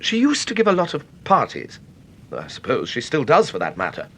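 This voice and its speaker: An actor playing an anxious, agitated English widower in a radio mystery drama, well-spoken and excitable with a British accent. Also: male voice, a little high, brisk, a little hiss or hum, full character voice. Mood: uneasy, candid.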